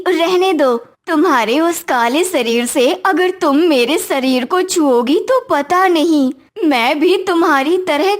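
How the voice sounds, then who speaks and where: A woman playing a vain, spiteful young daughter-in-law in a cartoon moral story, haughty and taunting. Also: very high-pitched.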